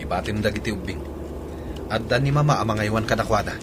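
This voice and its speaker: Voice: mid-range voice, fast talker, noisy background, natural voice. A man playing a curt, irritable husband and father in an audio drama, terse and dismissive. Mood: irritated, defensive.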